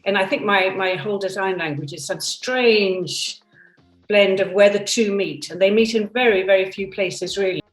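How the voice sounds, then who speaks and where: A woman's voice: medium pitch, moderate pace. A woman in her fifties from Denmark and England, speaking candidly and thoughtfully in an interview with frequent restarts, over a computer video call from her seaside home. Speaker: product designer interviewed. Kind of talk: reflective conversational interview. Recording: roomy, distant from the mic, soft music behind.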